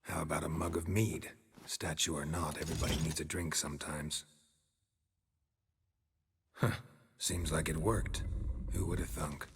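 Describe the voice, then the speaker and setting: An actor playing a stoic middle-aged monster hunter in a video game, with an American accent, a gravelly voice and a terse, deadpan delivery. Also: male voice, measured pace, pauses often, background noise, full character voice. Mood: wry, curious. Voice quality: low gravelly. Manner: terse, deadpan, clipped phrasing.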